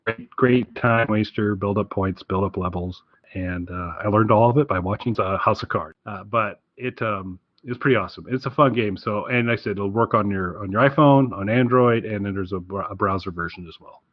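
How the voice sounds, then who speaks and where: A man with a fairly low voice talks casually as a podcast co-host. He rambles along with easy enthusiasm. The sound is very dry and a little lo-fi. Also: normal speed, hardly any pauses, no echo. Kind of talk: casual game recommendation chat.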